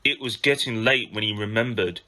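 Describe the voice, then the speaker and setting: A man's voice: lowish voice, normal speed, bright, forceful tone. A man narrating a children's story from a script as an amateur reader.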